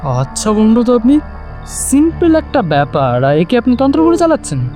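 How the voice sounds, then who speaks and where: A skeptical young man, played by an actor, with a high, mellow voice and animated intonation. He sounds dismissive and argumentative, in audio drama style. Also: normal speed, soft background music, heavily acted. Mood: incredulous. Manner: incredulous, hesitant, argumentative. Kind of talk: Bengali audio story acting.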